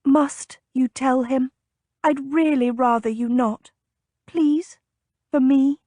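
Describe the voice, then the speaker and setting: A woman reading the part of a spirited, sharp-tongued young noblewoman with a bright, lilting delivery. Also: sits high, steady tone, slow pace, no echo, voice pushed up. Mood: pleading. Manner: pleading or snappish, lilting.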